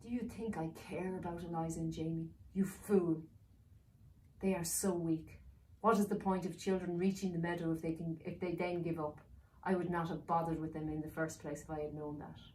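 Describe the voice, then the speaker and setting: A woman playing a bullying dark villain in a storybook, contemptuous and commanding toward a servant. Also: fairly low pitch, fairly even intonation, moderate pace, lightly acted. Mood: scornful, angry. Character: scornful, bullying dark villain, master of an elf. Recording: roomy, distant mic with faint noise.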